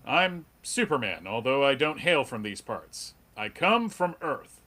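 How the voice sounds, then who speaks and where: Guy reading a friendly superhero, punchy bright voice, animated but plain talking, kinda wry, comic table read. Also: medium pitch, measured pace, exaggerated voice. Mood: friendly, puzzled. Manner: plain modern conversational delivery, mildly wry. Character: polite, wry, square-jawed alien superhero in a comic.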